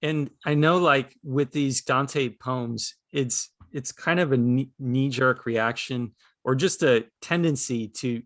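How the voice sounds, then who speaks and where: A man's voice: medium pitch, slow pace, fairly bright tone, no room sound, clean studio sound. A man asking questions in a casual, thoughtful discussion, hesitantly searching for his words.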